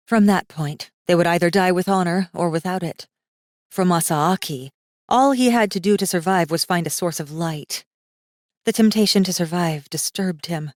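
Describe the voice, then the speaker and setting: A woman's voice: fairly low pitch, a little mellow, dry recording. A woman reading scripted fantasy fiction as a dramatic audiobook narrator. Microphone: close studio microphone. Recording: dry studio recording.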